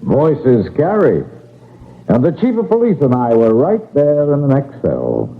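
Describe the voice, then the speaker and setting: Casting: male lawman, composed, commanding, mid-pitched, wry, heard through a thin radio band. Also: huge pitch swings, measured pace. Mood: firm, wry. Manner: authoritative, wry. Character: calm, commanding male detective making an arrest. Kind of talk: radio mystery drama acting. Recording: tinny, narrow-band old radio recording.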